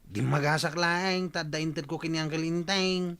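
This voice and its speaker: An actor playing an impatient, cheeky young nephew in a Filipino radio drama. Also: male voice, fairly high pitch, fairly even intonation, normal speed, a bit bright, clear, resonant tone.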